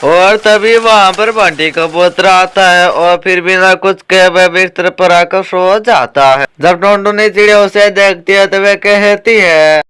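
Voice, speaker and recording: Slow, very clear story narrator. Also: medium pitch, steady tone, a bit bright. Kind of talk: scripted cartoon story narration.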